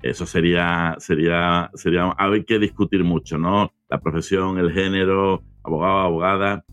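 A man with a fairly low voice hosts an interview podcast. He speaks conversationally as he presents and questions a guest, and the sound is dry, with little room on it. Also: little pitch movement, moderate pace, fairly bright tone, dry recording.

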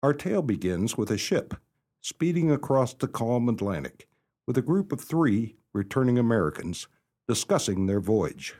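A man giving a formal, scripted introduction as a radio drama announcer, measured and declamatory. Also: medium pitch, big pitch swings, dark tone. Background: prominent music.

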